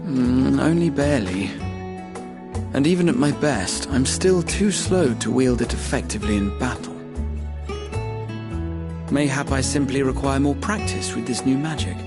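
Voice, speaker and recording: An actor playing a small, bookish mage in a game, earnest and easily flustered, with archaic scholarly phrasing. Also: male voice, mid-range voice, expressive, unhurried, music in the background. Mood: modest. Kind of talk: video game voice acting.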